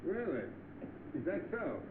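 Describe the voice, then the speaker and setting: An actor playing a bored, spoiled, idle young millionaire, complaining in 1930s film style. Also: male voice, high voice, sing-song, measured pace, phone-quality sound. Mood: peevish. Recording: thin, noisy vintage film soundtrack.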